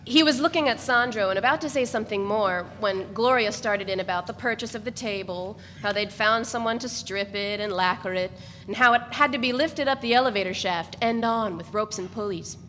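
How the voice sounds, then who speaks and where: A woman reading first-person literary narration aloud in a measured, even way. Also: mid-range voice. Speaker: reader. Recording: echoey room with faint noise.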